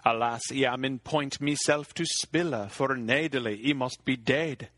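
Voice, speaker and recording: A man's voice: medium pitch, a little slow, a bit bright, no room sound, clean studio sound. A man voicing a troubled medieval king, speaking archaic verse in a grave way.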